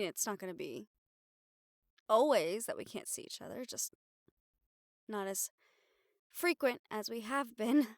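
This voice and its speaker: A woman acting a young reporter girlfriend in an intimate audio roleplay, wistful but bravely upbeat, musing in halting, expressive phrases. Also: mid-range voice, creaky, no room sound, studio quality.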